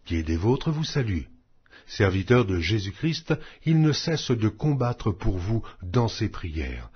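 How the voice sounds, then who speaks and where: A man narrating scripture from a script in a solemn, declamatory manner with measured phrasing. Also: on the low side, slightly rough, lo-fi sound. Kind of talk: scripted Bible reading.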